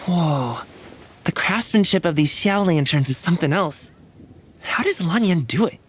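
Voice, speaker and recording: A woman's voice: on the low side, animated, moderate pace, a little hiss or hum, lightly acted. A woman playing a casual, upbeat, friendly youngster in a video game, talking in an easygoing, enthusiastic, slangy way. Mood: upbeat, relaxed. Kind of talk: video game character dialogue.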